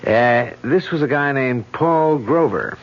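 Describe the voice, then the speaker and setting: Radio-play detective: a breezy, cocky cop, dull old recording. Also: male voice, mid-range voice, slow pace. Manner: jokey, self-assured. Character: cocky male police detective. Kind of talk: 1970s radio drama acting.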